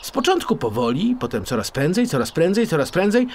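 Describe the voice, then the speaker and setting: A man narrating a children's story in an expressive, theatrical storytelling manner. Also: a little high, fairly quick, slight background noise. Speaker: audiobook narrator.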